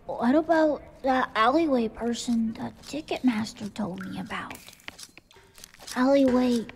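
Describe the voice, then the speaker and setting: Woman voicing a timid, slow-talking little boy. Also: on the high side, creaky, a little mellow, a little hiss or hum. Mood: pondering. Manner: hesitant, meek. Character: timid, gentle young boy in pointy hat. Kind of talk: theatrical audiobook character acting.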